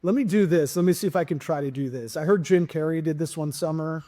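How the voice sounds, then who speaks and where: A man's voice: on the high side, fast talker, warm tone. Joking, rambling male comic host. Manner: rambling, joking, lots of restarts. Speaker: podcast host and stand-up comic. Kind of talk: casual interview chat.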